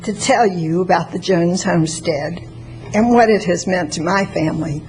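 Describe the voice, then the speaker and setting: A woman in her sixties from Florida with a soft voice, reading a prepared statement at a public hearing. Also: medium pitch, rough recording. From Florida, United States. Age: 60s. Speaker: member of the public giving testimony. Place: public meeting chamber at a podium microphone. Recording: faint background noise.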